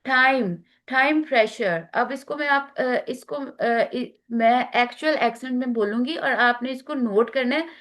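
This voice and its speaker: A woman with a Pakistani accent teaching an online class, talking slowly with many fillers and switching into English phrases. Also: sits high, fairly bright tone. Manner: slow, halting, many fillers, mixing in English.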